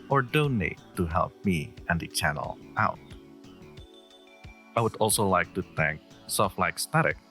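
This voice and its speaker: Deep gravelly guy doing a casual channel outro, faint music behind. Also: sharp tone. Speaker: audio roleplay creator signing off.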